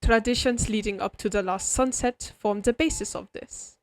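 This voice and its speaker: A woman voicing a refined, friendly vampire doctor in a scripted roleplay, explaining things to the listener in a formal, measured, courteous bedside manner. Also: mid-range voice, slight background noise, lightly acted. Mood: courteous, explanatory. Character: refined, oddly friendly vampire doctor, centuries-old aristocratic healer. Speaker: doctor character in a roleplay audio. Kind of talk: scripted comfort roleplay, spoken directly to listener.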